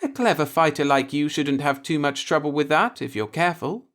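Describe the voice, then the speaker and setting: Man putting on a coy, high-pitched playful young woman. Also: animated, fairly quick, a bit bright.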